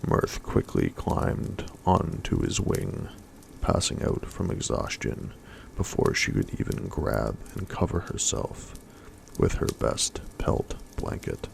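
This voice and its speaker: A man reading a story aloud as soft-spoken ASMR, in a breathy, hushed voice that often drops to a whisper. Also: very deep voice, big pitch swings, slow pace, slight background noise, low-quality recording.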